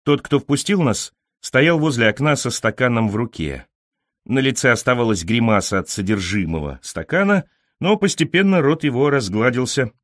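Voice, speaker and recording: A man narrates a literary audiobook in a mid-pitched voice. His delivery is expressive, with animated intonation, and the sound is dry and close. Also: normal speed, no echo.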